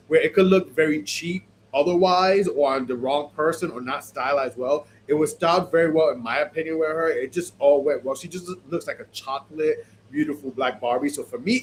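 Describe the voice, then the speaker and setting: Man, podcast host, stuffy nose, sniffly, chatty and animated, slightly high voice, faint background hiss. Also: normal speed, poor audio. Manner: chatty, animated, many fillers. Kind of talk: casual fashion review chat.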